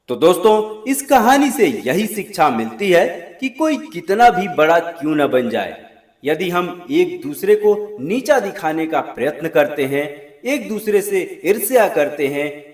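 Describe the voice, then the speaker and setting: Male storyteller for scripted moral tales, expressive and widely varied intonation, deliberate delivery, echoey recording. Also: on the high side. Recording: roomy, echoing voice recording.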